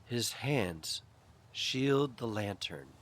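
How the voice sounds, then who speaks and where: Guy doing a hushed dramatic ghost story reading, super slow, pitch moves around a lot, some noise behind him. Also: expressive. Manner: hushed, deliberate, with pauses between short phrases.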